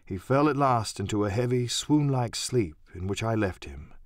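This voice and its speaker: A man's voice: fairly low pitch, lively intonation, normal speed, dry recording, studio quality. A man narrating an audiobook as scripted, dramatic first-person storytelling.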